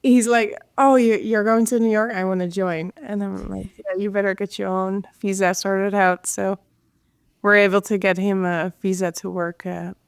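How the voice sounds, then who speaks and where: A woman tells her story as a podcast guest, pausing on many "um"s. Her voice is smooth and clean, with little rise and fall in pitch. The sound is dry and close. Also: level tone, no echo. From Netherlands. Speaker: guest, jazz singer and composer. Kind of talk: casual interview chat.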